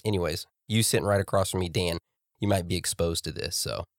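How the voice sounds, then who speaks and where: A man hosting a casual podcast, congested from a cold, chatty and quick to laugh. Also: deep voice, steady tone, no room sound, clean studio sound. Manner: laughing, chatty, talks over his co-host. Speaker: podcast host.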